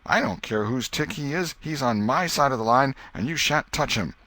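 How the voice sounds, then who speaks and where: A man plays a touchy schoolboy in a storybook, pitched well above his own voice. He is possessive and quick to anger, squabbling insistently in plain country-boy words. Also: animated, pushed and bright. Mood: indignant.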